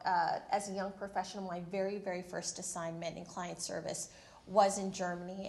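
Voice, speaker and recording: A woman's voice: mid-range voice, reverberant. A woman in her thirties from the Philippines, telling her career story on a panel in a candid, self-deprecating way. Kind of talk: panel discussion. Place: university event room.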